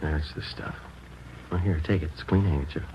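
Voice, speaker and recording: An actor playing a calm, matter-of-fact male police detective in an old radio drama, speaking in a clipped, level way. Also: a bass voice, fairly quick. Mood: gentle, patient. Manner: clipped, level, gentle with the boy. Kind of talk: 1950s radio drama acting. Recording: dull lo-fi old radio broadcast with hiss.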